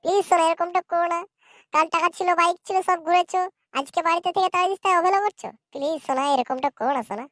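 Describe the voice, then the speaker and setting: A woman's voice: very high voice, racing, fairly bright tone, no echo, clean studio sound. A woman voicing a cheeky, foul-mouthed, lovelorn young son in a comedy cartoon, brash, quarrelsome and whining. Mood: pleading, wheedling.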